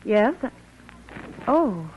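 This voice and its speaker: An actress playing a polite, refined, gently resisting well-to-do woman in an old-time radio drama, proper and hesitant. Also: mid-range voice, very animated, drawn-out words. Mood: flustered. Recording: dull, noisy vintage radio recording.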